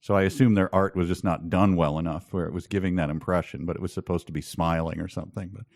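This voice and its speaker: A man's voice: sits low, fairly even intonation, quick pace, a little mellow. A man co-hosting a podcast, casual and wry, giving mock-serious readings with joking asides. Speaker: podcast co-host. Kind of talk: casual comic book discussion.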